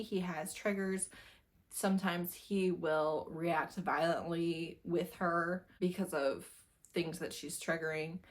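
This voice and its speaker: A woman giving a casual, chatty book review in an informal, emphatic way, often repeating phrases for emphasis. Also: on the low side.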